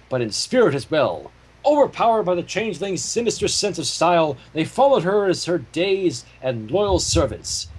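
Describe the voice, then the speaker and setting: A man narrating a story aloud in a slightly husky voice, with casual asides. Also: on the high side, rough recording. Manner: animated, profane asides. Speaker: fan fiction reader and narrator.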